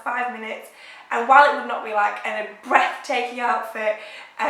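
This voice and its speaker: A woman's voice: on the high side, bright, forceful tone. A young woman with a head cold, chatting casually to camera about fashion with lots of "um" and "like". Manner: chatty, with frequent "um" and "like". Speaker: fashion vlogger. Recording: echoey room.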